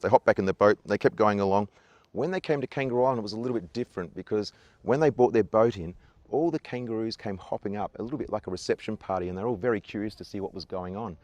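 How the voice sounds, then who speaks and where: A man's voice: lowish voice, a little mellow, no echo. An Australian man from Adelaide, working as a tour guide, answers interview questions outdoors in a casual, enthusiastic and earnest way, and keeps repeating his praise. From Adelaide, South Australia. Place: outdoors on an island.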